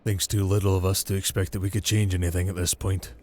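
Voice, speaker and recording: A man's voice: low voice, little pitch movement, quick pace, darkish tone, close to an everyday voice. A man playing a wry young noble heir and plotter in a fantasy audio drama, speaking drily and formally. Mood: quiet defiance.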